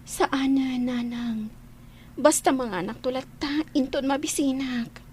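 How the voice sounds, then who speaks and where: A woman playing a despondent, stubborn young daughter in a radio drama, with a breathy delivery. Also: high voice, a little slow, darkish tone, slight background noise. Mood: sullen, weary. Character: despondent young woman stubbornly refusing her mother's care.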